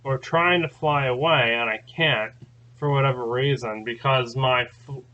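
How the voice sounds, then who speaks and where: A man's voice: medium pitch, steady tone, measured pace, pushed and bright, lo-fi sound. A man hosting his own channel, telling a long story in a rambling, casual way, full of fillers and restarts. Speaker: channel host. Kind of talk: casual spoken recounting.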